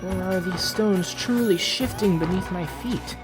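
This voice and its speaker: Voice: medium pitch, measured pace, music in the background, lightly acted, rough recording. A performer voicing a proud, entitled eldest prince, reading fantasy journal entries in a formal, theatrical manner. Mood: wary, puzzled. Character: Prince Johannes, proud, haughty, entitled eldest royal son on a quest. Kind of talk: dramatic fantasy journal narration, fan dub.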